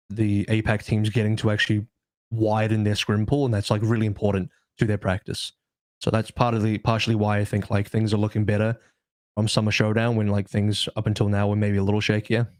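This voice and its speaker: A man with a fairly low voice speaks in a very dry, close sound. He gives casual esports analysis as a podcast panelist, explaining in a measured way. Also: darkish tone, dry recording.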